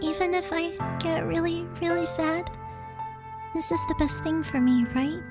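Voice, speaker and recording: A woman's voice: very high voice, unhurried, over music. A woman voicing a sweet, fragile anime schoolgirl from a visual novel, hesitant and tearful in her delivery. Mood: uncertain, fragile. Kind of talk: visual novel character read aloud.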